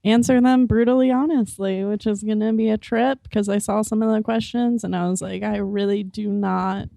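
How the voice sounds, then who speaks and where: A woman's voice: medium pitch, fairly even intonation, moderate pace, creaky, warm tone, no room sound. Chatty woman podcast co-host. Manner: chatty, laughs often, many fillers. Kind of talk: casual podcast chat.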